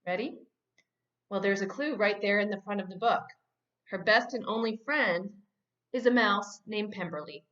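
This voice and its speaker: A woman's voice: mid-range voice, moderate pace, pauses often, a bit bright. A woman author presenting a trivia question about her book. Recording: slightly dull, lo-fi sound.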